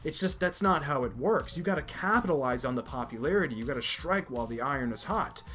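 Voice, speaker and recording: A man's voice: medium pitch, animated, fast talker, pushed and bright, a little hiss or hum. A young man from America hosting an anime review video, emphatic and opinionated, often repeating his points. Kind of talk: enthusiastic review commentary.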